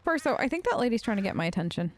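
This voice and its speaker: A woman's voice: on the high side, big pitch swings, very fast, no room sound. A woman hosting a gaming video, role-playing her side of the dialogue in a chatty, wry, informal way. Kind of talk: let's play role-play, casual in-character dialogue.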